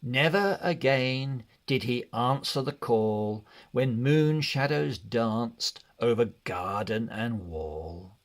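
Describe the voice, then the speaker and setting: Very slow, lively man reading a storybook. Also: medium pitch. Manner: expressive, deliberate, measured delivery. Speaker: children's story reader. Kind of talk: read-along storybook narration.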